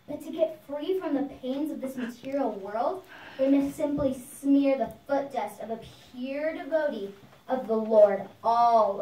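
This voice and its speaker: A woman's voice: sits high, darkish tone. A woman voicing a saintly, fearless young boy prince who preaches earnestly in a puppet show, sounding recited. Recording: echoey noisy room, far from mic.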